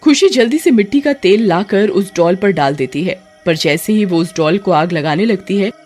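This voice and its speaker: A woman's voice: mid-range voice, animated, moderate pace, darkish tone, soft background music. A woman storyteller delivering scripted horror story narration.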